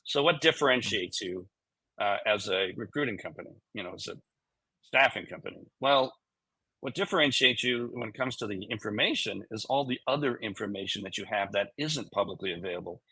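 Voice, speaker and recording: A man's voice: fairly low pitch, animated, a little fast, sharp tone, husky, no echo, poor audio. A man talking as a chief executive guest in a conversational, plain-spoken and emphatic way. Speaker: tech company CEO, interview guest. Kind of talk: conversational explanation and anecdote.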